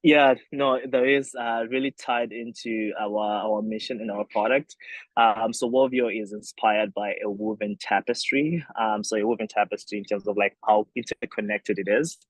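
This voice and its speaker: A man's voice: fairly low pitch, steady tone, normal speed, dry recording, low-quality recording. A young man from Zimbabwe, a company founder, answering interview questions thoughtfully with many fillers and restarts.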